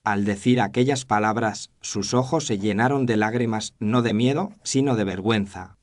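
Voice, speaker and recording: A man with a somewhat low voice narrates a period detective tale. His delivery is scripted, literary and measured, told as a first-person account.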